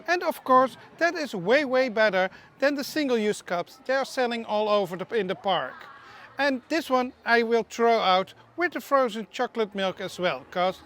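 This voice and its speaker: A woman's voice: a little high, a bit bright. Chatty woman. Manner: chatty, opinionated, small slips and restarts. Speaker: theme park news presenter. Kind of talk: casual news vlog narration.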